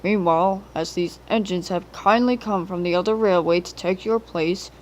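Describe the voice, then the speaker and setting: Woman as a stern, pompous, loud railway boss. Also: fairly low pitch, normal speed, a little hiss or hum, close to an everyday voice. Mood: commanding. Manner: commanding, emphatic. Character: stern, pompous, bossy male railway controller.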